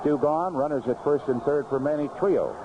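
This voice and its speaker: An American man calling a baseball game play by play on 1970s radio, in clipped, unhurried phrases from an outdoor ballpark booth. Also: fairly high pitch. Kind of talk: live radio sports commentary, 1970s. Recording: noisy vintage AM radio broadcast, muffled.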